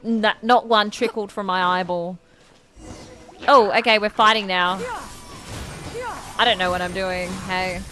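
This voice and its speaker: A woman's voice: mid-range voice, steady tone, unhurried, long pauses, sharp tone, soft background music, low-quality recording. A woman livestreaming a game, chatting casually with gushing, giggly reactions to what she plays. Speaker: game streamer. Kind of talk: casual livestream gameplay commentary.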